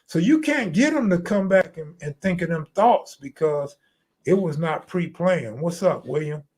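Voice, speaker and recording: A man's voice: on the high side, animated, a little slow, darkish tone. An American man hosting a live stream, chatting casually in slangy, profane, streetwise talk.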